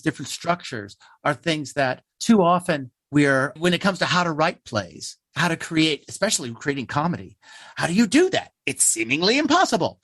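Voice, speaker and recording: A man's voice: a little high, a bit bright, no room sound. An American man, a theater playwright, answering interview questions in an earnest, emphatic, talkative conversational way.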